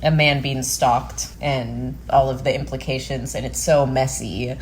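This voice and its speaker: A woman's voice: extremely low pitch, fairly even intonation, measured pace, a little hiss or hum. A woman hosting a casual conversational interview in an informal, chatty way. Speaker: podcast host.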